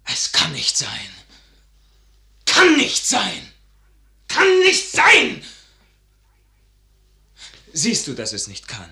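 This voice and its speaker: Young male lead: idealistic officer son, highish, bright, impassioned, from hushed to crying out, classical radio-play verse. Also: wildly swooping pitch, extremely slow, gravelly, pauses often, full character voice. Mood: shocked, disbelieving. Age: young adult.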